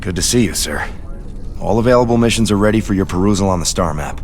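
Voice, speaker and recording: Earnest, low-pitched young officer. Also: male voice, quick pace, darkish tone, a little hiss or hum. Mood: dutiful. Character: earnest young male starship officer, crisp and dutiful. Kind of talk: video game cutscene acting.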